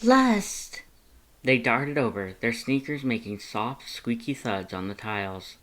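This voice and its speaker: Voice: mid-range voice, normal speed, fairly bright tone. A narrator reading a scripted fan fiction story and voicing its characters.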